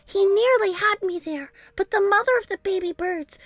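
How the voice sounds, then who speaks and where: A woman's voice: extremely high pitch, moderate pace, no room sound, pitched above the performer's own voice. A woman voicing a boastful, melodramatic female storybook animal who tells tales with theatrical exaggeration. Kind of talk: children's storybook character voice.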